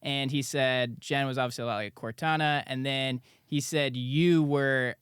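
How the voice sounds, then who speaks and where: A man's voice: mid-range voice, steady tone, fairly bright tone, clean tone, no room sound, studio quality. A young man hosting a podcast, asking questions in an eager, upbeat, casual way. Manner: upbeat, eager, quick asides. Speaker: podcast co-host.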